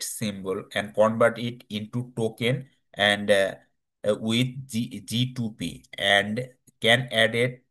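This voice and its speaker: A man with a slightly low voice presents technical slides. He speaks slowly and haltingly, searching for his words in non-native English phrasing. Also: a bit bright.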